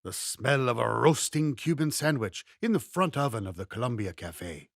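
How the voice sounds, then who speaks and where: Male audiobook reader for a memoir: lively, expressive melody, savors each descriptive phrase, very dry studio-like sound. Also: medium pitch, moderate pace, gravelly, no echo. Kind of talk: expressive scripted reading of a memoir.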